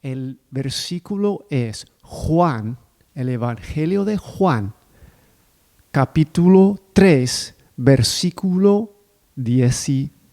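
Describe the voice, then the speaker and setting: A male preacher, whose first language is not Spanish, giving a sermon and leading a prayer in a careful, deliberate way. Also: mid-range voice, animated, warm tone. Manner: careful, deliberate delivery of a non-native speaker.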